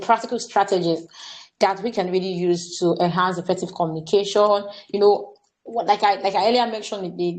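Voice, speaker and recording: Brief: young Nigerian woman, workshop facilitator, earnest, mid-pitched, stumbles on words and restarts. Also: a little mellow, low-quality recording. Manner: earnest, repeats words and says "what's it called" often. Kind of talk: staff training talk.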